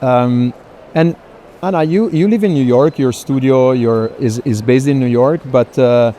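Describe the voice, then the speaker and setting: A man hosting an unscripted walking conversation, reflective and halting, often repeating and rephrasing his words. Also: medium pitch, mellow. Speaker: moderator, host of the walking conversation. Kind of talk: unscripted interview on a tour. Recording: faint urban background noise.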